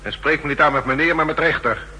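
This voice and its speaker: An actor playing a contemptuous authoritarian judge, curt and pompous, with a husky voice in a 1960s radio drama. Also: male voice, a little high, a little fast, bright, forceful tone, a little hiss or hum. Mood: stern, contemptuous. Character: harsh, contemptuous authoritarian male street judge. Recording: reverberant old radio drama recording.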